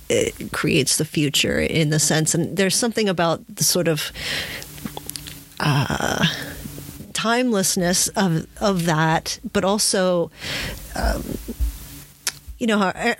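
A woman hosting an interview, speaking reflectively and a little tentatively, pausing between phrases as she forms her thoughts. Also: lowish voice, a little hiss or hum. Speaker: podcast host. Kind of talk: conversational interview, reflective commentary.

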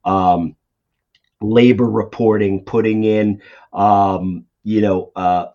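A man's voice: fairly low pitch, fairly even intonation, takes it slowly. A middle-aged man with a Boston accent, a finance executive answering interview questions in a conversational way with many ums and restarts. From Boston area. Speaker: CFO guest. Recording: dull lo-fi audio.

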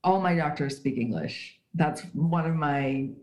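A woman with a low voice speaks as an interview guest, explaining things emphatically and leaning on everyday examples. Her voice sounds roomy, as if recorded remotely in a live space. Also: measured pace, darkish tone. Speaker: guest, HR writer. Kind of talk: conversational interview. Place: at home in Switzerland.

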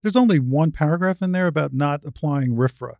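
A woman's voice: deep voice, brisk, vocal fry, darkish tone, no echo, studio quality. An older woman in a spirited conversation as a podcast guest, explaining points at length in a frank, discursive way. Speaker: podcast guest, retired surgeon and advocate.

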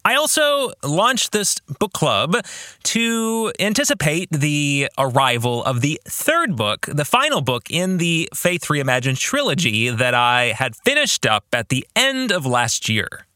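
A man in his forties hosting a podcast in a scripted monologue, with a deliberate, emphatic presenter's delivery. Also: a little high, big pitch swings, bright, forceful tone, no echo, studio quality. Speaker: podcast host and author.

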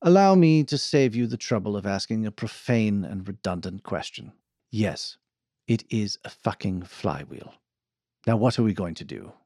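A man as a pompous, fussy frontier gentleman, animated. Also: on the low side, moderate pace, dry recording, studio quality. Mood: dry exasperation. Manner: formal, wordy, haughty. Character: pompous, fussy gentleman employer in the Old West.

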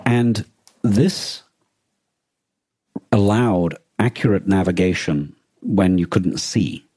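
A man's voice: sits low, slow, mellow, slightly rough, no room sound. A man co-hosting a podcast, talking casually in a thoughtful, explanatory way with some hesitation. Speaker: podcast co-host. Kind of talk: casual discussion.

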